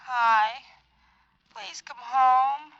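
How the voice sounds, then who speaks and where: Coy, needy girlfriend, higher pitch, old radio drama, muffled band. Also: extremely slow, a bit bright, dry recording, full character voice. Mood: pleading. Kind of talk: 1940s-50s radio acting.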